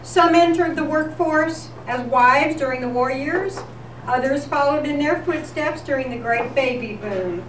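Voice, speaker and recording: A high-pitched woman presents a scripted tribute in the manner of a radio newscast. She reads deliberately and with light comedy. Her voice comes from well away from the microphone in a very echoey room. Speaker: event presenter. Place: live event in a senior center room. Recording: echoey, noisy room recorded from far off.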